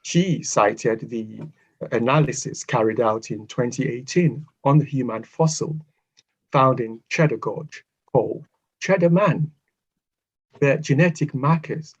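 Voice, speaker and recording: A man from Ghana delivers a prepared talk in a husky, mid-pitched voice. His phrasing is formal and measured, with pauses, and the video call audio sounds dull and lo-fi. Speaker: guest speaker, psychotherapist. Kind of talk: prepared lecture.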